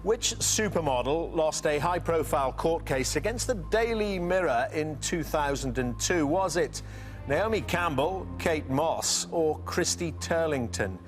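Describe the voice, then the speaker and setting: A man hosting a studio quiz show, welcoming players, explaining rules and reading out questions in a brisk, upbeat way. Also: on the high side, lively intonation, soft background music.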